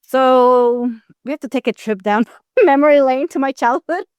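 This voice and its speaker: Clear-voiced middle-aged woman, candid interviewee. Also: high-pitched, normal speed, no room sound. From Costa Rica. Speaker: guest, anthropologist and expert witness. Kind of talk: conversational interview answers.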